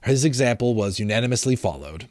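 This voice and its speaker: A man's voice: medium pitch, lively intonation, quick pace, darkish tone, no echo. A man narrates a classic storybook with theatrical emphasis. His delivery swings freely up and down in a mid-range voice, and the recording is dry and free of room sound. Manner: theatrical, emphatic, breaks off to explain. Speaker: amateur audiobook reader. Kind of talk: dramatic storybook narration with asides.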